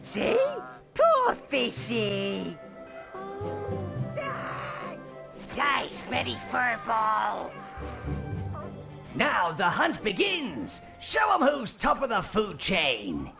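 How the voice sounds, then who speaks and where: Small, shrill goblin minions for a video game, shrieking with bloodthirsty glee. The voice jumps high and wild, sometimes dragging out words slowly. Faint music runs beneath. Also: male voice, huge pitch swings, gravelly, full character voice.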